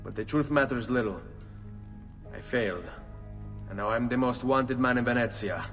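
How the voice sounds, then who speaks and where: An actor playing an earnest, brooding young assassin in a video game, speaking gravely with an Italian accent. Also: male voice, medium pitch, fairly bright tone, lightly acted. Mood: earnest, subdued. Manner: grave, measured, peppered with Italian words. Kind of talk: dramatic video game acting. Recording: reverberant, distant, with music behind.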